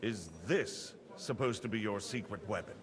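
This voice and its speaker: A man acting a measured, resolute nobleman leading a war council in a game, with stately, oratorical delivery. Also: lowish voice, crowd noise, natural voice. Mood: incredulous. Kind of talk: dramatic scripted game acting.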